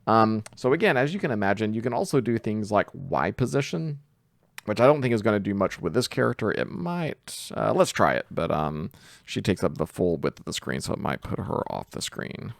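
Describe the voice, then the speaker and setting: A man giving an informal screen-share software tutorial, thinking aloud hesitantly as he goes. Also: lowish voice, very animated, brisk, gravelly, no echo, clean studio sound. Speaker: tutorial host, coding instructor.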